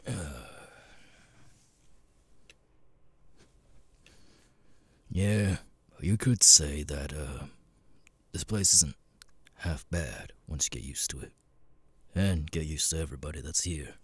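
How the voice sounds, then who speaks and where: A man voicing a gruff, jaded cat demon bartender in an ASMR roleplay, muttering and swearing wearily with hesitant pauses in a deep, gravelly rasp. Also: a bit bright, no echo, heavily acted. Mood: wry, reassuring.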